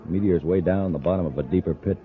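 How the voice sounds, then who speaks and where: Deep, quick-talking American officer played by a male actor, businesslike space major, vintage radio serial. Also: lightly acted. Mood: brisk, reporting. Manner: clipped, official. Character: brisk, businesslike space patrol major, adult man. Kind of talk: 1950s radio adventure acting. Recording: tinny old radio recording.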